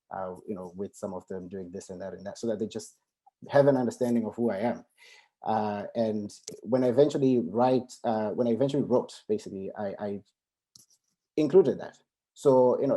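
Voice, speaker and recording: Dark-voiced man, hesitant researcher. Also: on the low side. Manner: hesitant, many fillers and restarts. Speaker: panel speaker, researcher. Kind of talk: academic panel discussion.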